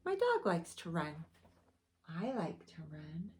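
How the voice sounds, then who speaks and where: A young woman teaching preschool, reading a picture book aloud to children in a lively storytime voice. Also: slow, slight background noise. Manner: animated storytime voice with big pitch swings.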